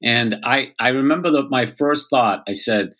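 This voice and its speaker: A man with a Boston accent, a podcast guest who talks warmly and haltingly, with many fillers. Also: mid-range voice. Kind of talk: conversational interview.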